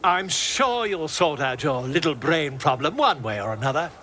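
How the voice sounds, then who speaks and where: A man playing a flippant video game character, theatrical and insincerely polite in a drawn-out way. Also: a little high, big pitch swings, bright, forceful tone, slight background noise. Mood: mocking.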